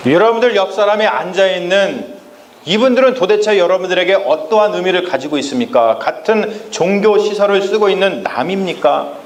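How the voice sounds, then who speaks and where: A male pastor preaching a Sunday sermon in a church, speaking emphatically and often turning to address the congregation directly, slowing down to stress key phrases. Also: on the high side, slight background noise. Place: church sanctuary. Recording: echoey church with faint ambience.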